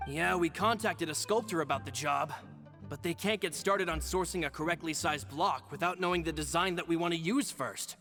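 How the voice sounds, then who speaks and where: Courteous young aide, bright, slightly high voice, formal delivery, game dub. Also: male voice, normal speed, soft background music. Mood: polite. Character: young man, loyal polite assistant to his boss. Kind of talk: video game voice acting.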